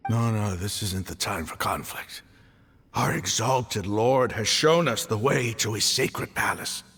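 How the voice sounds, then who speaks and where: An actor playing a devout, proud and touchy desert zealot leader in a video game, with a forceful, gravelly delivery. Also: male voice, lowish voice, a little hiss or hum. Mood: awed, fervent. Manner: fervent, slow, dramatic. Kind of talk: video game character acting.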